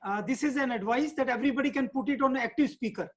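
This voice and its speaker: A woman with a high voice cuts in on a video call to give practical instructions. She is plain and instructive. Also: fast talker.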